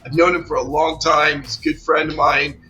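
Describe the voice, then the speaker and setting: A man's voice: fairly high pitch, level tone, moderate pace, pushed and bright, soft background music, rough recording. A man hosting a conference interview, a little under the weather, talking in an enthusiastic, rambling way with many restarts. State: slightly ill, voice a bit off. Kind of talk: casual interview chat.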